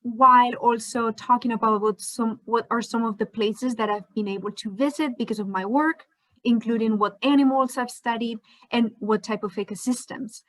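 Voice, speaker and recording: A woman's voice: on the high side, steady tone, moderate pace, poor audio. A woman in her twenties whose first language is Spanish, narrating an educational slideshow as a PhD student, in a friendly manner with frequent fillers. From Spain.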